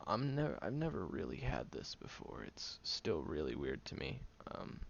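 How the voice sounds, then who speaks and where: Very deep, gravelly, prickly young loner played by a man. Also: huge pitch swings, moderate pace, heavily acted. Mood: reluctantly vulnerable. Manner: sardonic, hesitant, sighing, often dropping to breathy murmurs. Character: prickly young male loner, guarded, grudgingly caring friend. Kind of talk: audio roleplay, intimate one-sided dialogue. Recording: muffled, lo-fi, noticeable background hiss.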